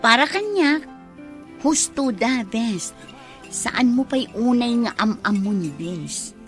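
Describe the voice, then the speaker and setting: Casting: caring radio-drama confidante, fairly high, animated voice, light music bed. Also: female voice, slow. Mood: concerned. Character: concerned best friend giving careful advice. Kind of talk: Ilocano radio drama acting.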